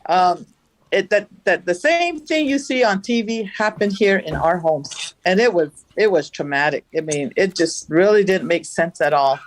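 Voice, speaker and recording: A woman from the Navajo Nation, a farmer, recounting events in a studio interview with a loud voice and an animated telling. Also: lowish voice, normal speed, dry recording. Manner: animated, acts out the dialogue she recounts. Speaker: farmer, guest.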